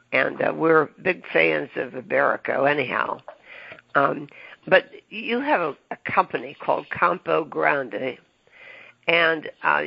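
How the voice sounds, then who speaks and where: Male podcast host, husky and raspy-edged, stop-start delivery, recorded dull and dry. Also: on the high side, no room sound. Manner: halting, full of ums and restarts. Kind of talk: casual interview chat.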